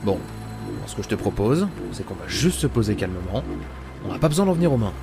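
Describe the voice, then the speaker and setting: An actor playing a gruff, weary but principled adventurer in an audio drama, grumbling and muttering to himself. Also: male voice, on the low side, very animated, brisk, over music. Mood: calm, firm. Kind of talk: audio drama acting with inner monologue.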